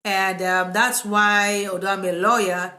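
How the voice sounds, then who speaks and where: A woman with a Cameroonian accent, a psychotherapist talking casually and informally to viewers in a live vlog, with a relaxed, conversational manner. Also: medium pitch, measured pace. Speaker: psychotherapist hosting a live video. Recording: echoey, reverberant room.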